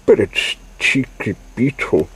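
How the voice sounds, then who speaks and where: A man puts on a flustered female Mini from a children's story. The voice is raised and a bit husky, gasping and anxious. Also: medium pitch, expressive, slow, a bit bright, voice pushed up, full character voice, rough recording. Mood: breathless. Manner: gasping, calling out. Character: breathless, worried female Mini car.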